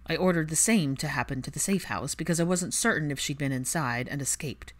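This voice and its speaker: A woman's voice: deep voice, fairly quick. Woman voicing a grim, drawling, terse man. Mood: cool. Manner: drawling, clipped, deadpan. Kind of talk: acted audiobook dialogue.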